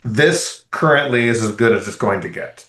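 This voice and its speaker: A man co-hosting a podcast, telling a rambling, animated travel story in casual, profane chat. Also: medium pitch, moderate pace. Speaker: podcast co-host. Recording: roomy echo.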